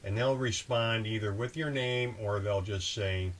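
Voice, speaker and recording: Low-voiced man giving casual boating instruction, noisy background. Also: unhurried. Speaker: host of a boating channel. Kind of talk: casual instructional talk with example radio calls. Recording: clear steady background ambient noise.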